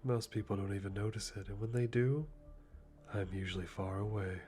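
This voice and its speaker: Guy doing a calm methodical hitman thinking out loud, lowish soft voice, dry humor, asmr roleplay, faint music behind. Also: natural voice. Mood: calm, calculating. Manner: deliberate, dryly amused, musing to himself. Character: calm, methodical male hitman planning a kill.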